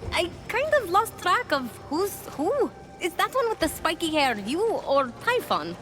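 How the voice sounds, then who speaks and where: Unsure young game heroine, high animated voice, hesitant n wry, earnest. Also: female voice, unhurried, soft background music, exaggerated voice. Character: young female hero, unsure, earnest, self-doubting.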